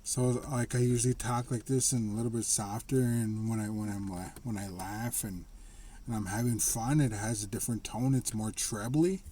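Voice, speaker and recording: A man talking casually to the camera in a slightly raspy voice, rambling and restarting his sentences. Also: on the low side, steady tone, warm tone, a little hiss or hum. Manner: rambling, halting, with frequent restarts and laughs. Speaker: vlogger. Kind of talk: casual personal monologue.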